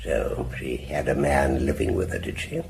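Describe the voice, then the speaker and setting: A man's voice: extremely low pitch, normal speed, gravelly, a little mellow, slight background noise. An actor playing a haughty, guarded, middle-aged provincial businessman in a radio drama, speaking in a stiff, clipped, evasive way. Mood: stiff, reticent. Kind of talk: BBC radio drama acting.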